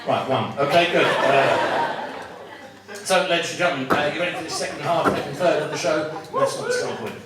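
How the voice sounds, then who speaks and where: A man's voice: a little high, fairly quick, over a noisy crowd. A man compering a stand-up comedy night, bantering and teasing the audience in a chatty, rambling way with lots of restarts. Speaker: comedy club MC. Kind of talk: stand-up compering and crowd work. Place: comedy club with live audience. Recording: echoey, distant room recording with loud noise.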